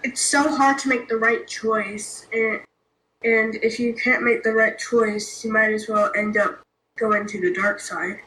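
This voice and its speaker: A woman with a higher, bright voice asks playful questions as a co-host. She is recorded in an echoey room. A faint background noise runs under her. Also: steady tone, measured pace. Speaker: interviewer. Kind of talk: casual interview chat.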